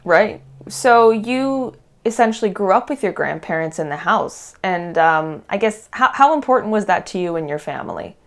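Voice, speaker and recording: A woman from Canada, hosting an immigration talk show, reading news items and then chatting with a guest in a clear, steady delivery. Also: medium pitch, normal speed, hardly any pauses. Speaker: host. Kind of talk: news segment then casual chat.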